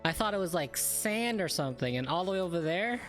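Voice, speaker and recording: A woman streamer comments casually over a video game. Her voice is notably low for a woman, her manner informal and playful, with soft music underneath. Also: expressive, brisk. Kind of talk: casual gameplay reaction commentary.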